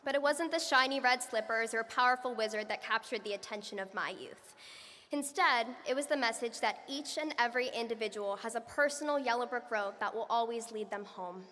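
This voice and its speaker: A young woman, a student, giving a graduation speech in an earnest, measured way. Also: fairly high pitch, pushed and bright. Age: young adult. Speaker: student speaker. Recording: echoey hall from far off.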